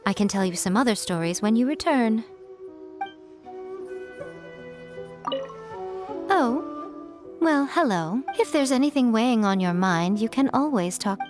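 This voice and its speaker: A woman playing a self-assured, teasing fox spirit shrine maiden in a video game, with playful, coy and deliberate phrasing. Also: on the high side, expressive, close to an everyday voice. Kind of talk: polished video game character acting. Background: music.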